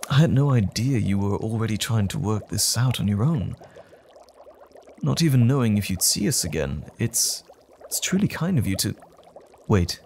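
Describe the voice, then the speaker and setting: An earnest scientist, acted by a man, hesitant and stammering in an audio drama. His pitch swings very widely at a measured, slow pace. A faint noise sits behind the voice. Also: warm tone.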